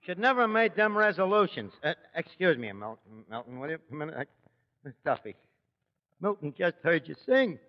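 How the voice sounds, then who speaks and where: High-pitched New York barman. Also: very animated, moderate pace. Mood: coaxing. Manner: slangy, ungrammatical, wry. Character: wisecracking malaprop-prone New York tavern manager. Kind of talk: 1940s radio comedy acting. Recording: muffled, reverberant 1940s radio recording.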